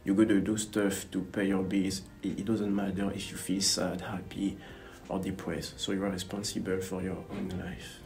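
A young man with a slightly low voice reflects on his year in a relaxed, thoughtful way. He sits in a university lobby facing the camera. Quiet music runs softly behind him. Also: normal speed, few pauses. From Gabon. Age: young adult. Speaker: university student. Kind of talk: interview to camera. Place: indoor university building lobby. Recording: faint music under the voice.

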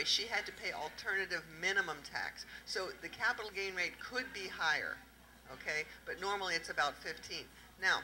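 A middle-aged woman with a Southern accent talks taxes to a live audience, her voice very bright and sharp. She keeps asking the room questions and plays off the answers. She holds a handheld microphone in an indoor event room. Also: fairly low pitch, rough recording. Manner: lively, quizzing the audience with questions. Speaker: tax accountant presenting at a panel. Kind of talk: interactive talk to a live audience.

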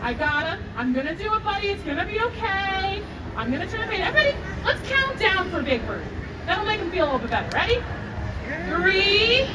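A young woman hosting a live children's story time, projecting to a crowd and coaxing them along in an animated way. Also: very high voice. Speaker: theme park story time host. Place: outdoor theme park stage. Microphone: audience phone camera from several meters. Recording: distant phone recording, noisy outdoor crowd, echoey.

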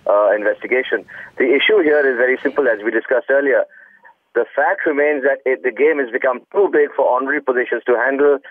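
A man's voice: medium pitch, no room sound. An older man with an Indian accent giving blunt, emphatic opinions as a guest on a news programme, with some hesitation. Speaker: former cricketer, guest commentator. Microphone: phone. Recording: dry, narrow phone line.

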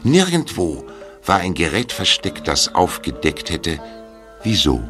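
An actor playing a grave narrator of a mystery audio drama, telling it ominously. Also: male voice, deep voice, wildly swooping pitch, a little slow, over music, exaggerated voice.

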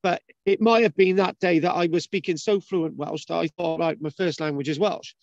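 A man's voice: fairly high pitch, steady tone, moderate pace, creaky, no room sound. A man hosting a casual video-call discussion, rambling with restarts and asking "right?" often. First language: English. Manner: rambling, many restarts and fillers, asks "right?". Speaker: host. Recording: dull lo-fi video call audio.

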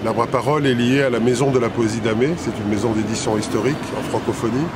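Fifties guy musing slowly in a street interview, lots of ums, city noise behind. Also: medium pitch, steady tone. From Italy and Belgium. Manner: thoughtful, many hesitations and repeated words. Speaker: poet and publisher. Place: city square outdoors, traffic nearby.

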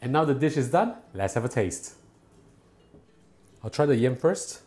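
A middle-aged man hosting a cooking show, explaining each step calmly and patiently in a home kitchen. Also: medium pitch, a little slow, soft background music. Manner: calm, patient and instructive, enthusiastic when tasting.

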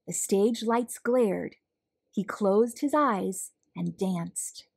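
A woman reading a children's story aloud with expressive, deliberate phrasing. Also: medium pitch, creaky, husky. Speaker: storybook reader, host. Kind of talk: children's read-aloud narration.

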